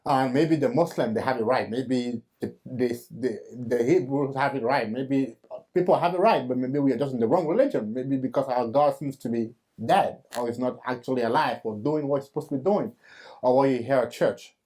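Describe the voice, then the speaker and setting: A man's voice: medium pitch, mellow, poor audio. Earnest man telling his story. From Cameroon. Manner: earnest storytelling, halting with fillers and restarts. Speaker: host. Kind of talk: personal faith testimony.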